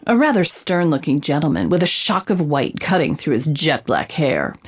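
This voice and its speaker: Lowish-voiced roving reporter. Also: female voice. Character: female roving reporter, curious, vivid storyteller. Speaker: narrator, reporter. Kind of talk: scripted narration, news-report storytelling.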